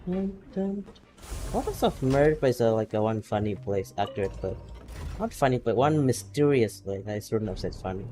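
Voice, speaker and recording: A man's voice: medium pitch, lively intonation, normal speed, mellow, slight background noise. A young man casually commenting while playing a game and reading its text aloud, rambling and playful. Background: game music.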